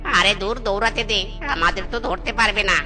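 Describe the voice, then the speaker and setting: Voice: mid-range voice, normal speed, lightly acted. An actor voicing a bossy, scheming male cartoon animal thief in a sly, exaggerated way. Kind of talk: comedy cartoon voice acting. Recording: music behind the voice.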